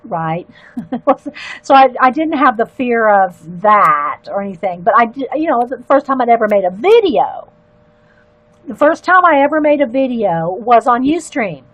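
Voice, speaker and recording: An older woman, chatting in a loud, carrying voice and rambling into asides while she colors on a livestream. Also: medium pitch, expressive, moderate pace, no echo, highs cut off. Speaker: livestream artist. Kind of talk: casual chat while coloring.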